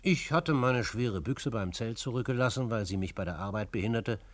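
An actor playing an adventurer who narrates his own frontier tale in a measured, literary, dramatic radio style. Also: on the low side, animated, no room sound, close to an everyday voice. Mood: matter-of-fact. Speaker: first-person narrator.

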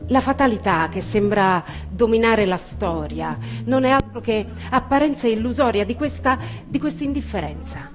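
Higher-voiced woman in a theatrical monologue over music. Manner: emphatic, deliberate pauses, conversational asides. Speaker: actor reading a political text. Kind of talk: theatrical dramatic monologue reading. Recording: music under the voice.

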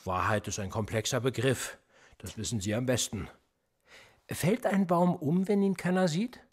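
An actor playing a world-weary, needling middle-aged police interrogator in a German radio play, pressing with rhetorical questions. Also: male voice, mid-range voice, moderate pace, husky, lightly acted. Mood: calculating, weary.

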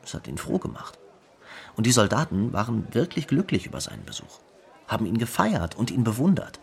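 Gravelly, animated man reminiscing. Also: fairly low pitch, brisk. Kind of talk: radio play documentary monologue.

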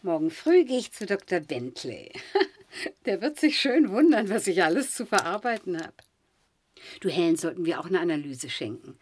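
A woman's voice: fairly low pitch, sing-song, normal speed, a little hiss or hum. An actress playing a naive, dreamy, stranded wife and mother in a science-fiction radio drama, airy and earnest. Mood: brightly hopeful.

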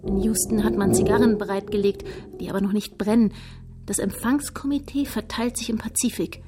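A woman's voice: medium pitch, fairly even intonation, fairly quick, heavy background noise, lightly acted. A woman playing a composed chronicler, narrating a radio drama in a poetic, literary style. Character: composed female chronicler of a space landing.

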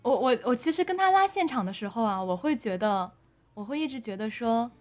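Casting: female drama director with a fairly high voice, chatting off the cuff, halting, with repeated words. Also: lively intonation, darkish tone, soft background music. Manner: hesitant, often repeating words mid-phrase. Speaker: audio drama director being interviewed. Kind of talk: unscripted casual interview chat.

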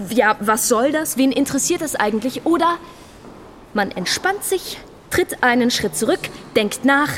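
A museum guide in a satirical stage revue. The voice sits fairly high and presents everything with mock-earnest, audience-facing irony, with light music underneath. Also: female voice. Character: ironic exhibit-guide presenting a colonial museum of wilderness. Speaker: stage performer. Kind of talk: theatrical monologue in a satirical revue.

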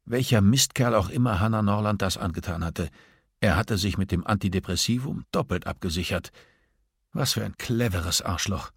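A man's voice: fairly low pitch, moderate pace, slightly rough, no echo, clean studio sound. A man reading scripted narration as the narrator of a thriller audiobook.

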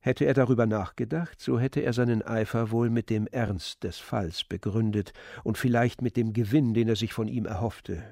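A man narrating a literary story in a measured, descriptive manner. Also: medium pitch, steady tone, creaky, a little mellow, no echo, studio quality.

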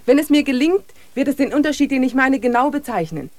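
A woman's voice: sits high, expressive, fast talker, darkish tone, dry recording. Spirited, direct woman. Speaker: main character, painter. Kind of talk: classic radio play acting.

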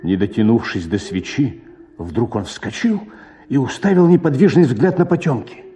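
A man's voice: mid-range voice, lively intonation, moderate pace, background noise. A man narrating a literary short story for radio in a dramatic storytelling style. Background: music.

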